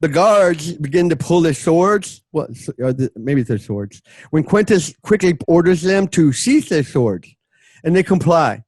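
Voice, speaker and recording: A man with a fairly high voice narrates a radio play in a dry, close sound. He treats the script loosely, slipping in wry, comic asides. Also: expressive, measured pace, darkish tone, slightly rough, no echo.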